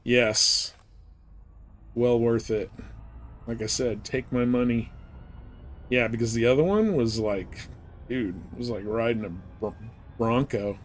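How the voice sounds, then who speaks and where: A man's voice: medium pitch, slow pace, long pauses, faint music behind. A man giving casual, slangy live commentary while he plays a game. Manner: informal slangy talk. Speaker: live streamer playing a space flight game.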